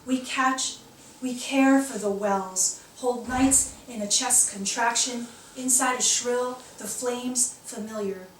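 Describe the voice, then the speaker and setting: A woman's voice: a little high, fairly even intonation, slow. A woman poet reading her own work aloud at a live poetry reading. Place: indoor poetry reading, recorded from a distance. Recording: echoey room, distant mic, faint noise.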